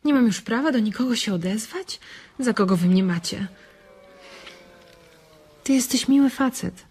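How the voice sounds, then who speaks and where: Husky, lonely young farm wife. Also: female voice, a little high, moderate pace, a little mellow. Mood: indignant. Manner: colloquial, coaxing, self-pitying. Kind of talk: radio drama acting.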